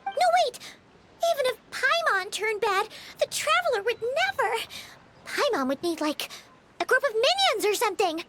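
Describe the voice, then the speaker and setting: A tiny, excitable female sprite companion from a video game. The voice is piercingly high, bright and springy, leaping up and down as she eagerly works things out and speaks of herself in the third person. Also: full character voice. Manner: refers to herself in the third person, chirpy and quick to jump to conclusions. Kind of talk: video game cutscene voice acting.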